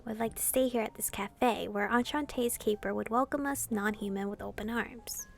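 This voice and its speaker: A woman's voice: fairly high pitch, fairly even intonation, moderate pace, vocal fry, soft background music. A woman gamer reading a visual novel aloud expressively, in the style of a casual playthrough.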